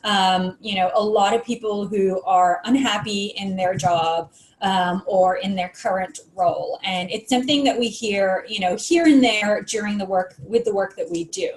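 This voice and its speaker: A woman's voice: mid-range voice, normal speed, roomy sound, rough recording. A woman co-hosting a live stream, friendly and organized as she runs through the outline, with some ums. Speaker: co-host.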